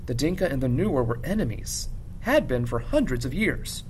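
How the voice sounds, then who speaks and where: A man reading a children's novel aloud like a teacher narrating to students, with low rumble behind him. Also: big pitch swings, moderate pace, rough recording. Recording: clear background rumble noise.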